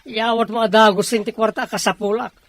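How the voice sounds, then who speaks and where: A woman's voice: fairly high pitch, flat delivery, moderate pace, natural voice. Woman acting a pushy, impatient young borrower. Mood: pushy, pleading. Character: pushy, indebted young person, impatient and pleading. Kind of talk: Filipino radio drama acting.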